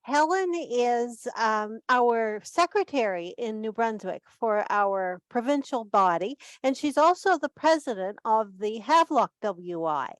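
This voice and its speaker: A woman's voice: fairly high pitch, lively intonation, a little slow, few pauses, no echo. A woman casually hosting an online group meeting and introducing guests.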